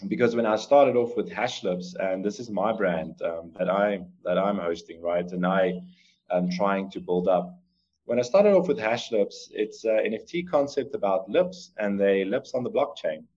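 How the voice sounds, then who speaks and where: A man's voice: on the low side, moderate pace, a little mellow, lo-fi sound. A man in his thirties from South Africa, a native Afrikaans speaker with an Afrikaans accent, giving earnest, rambling interview answers full of fillers over a video call. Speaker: guest artist and coder. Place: home room on a video call. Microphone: laptop or webcam mic on video call.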